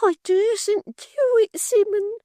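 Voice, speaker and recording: A woman's voice: very high-pitched, steady tone, takes it slowly, dark tone, no room sound, studio quality, voice pushed up. A woman voicing a prim, hysterical young maidservant, affected and melodramatic. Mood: coy shrill.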